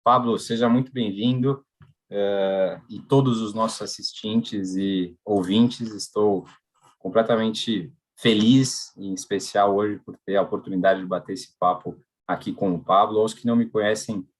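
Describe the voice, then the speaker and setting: A man's voice: lowish voice, fairly even intonation, moderate pace. A man with a Brazilian Portuguese accent hosting a live podcast, welcoming and chatty. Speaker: podcast host.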